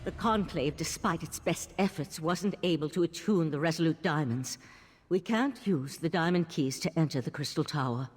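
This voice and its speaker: A weary, skeptical leader of mages in a fantasy game. Her husky voice swings expressively through formal, deliberate speech, ringing in a long reverb. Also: on the low side, darkish tone. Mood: grave, disheartened. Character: grave, weary woman leader of a mage order, wryly skeptical. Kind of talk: fantasy video game dialogue.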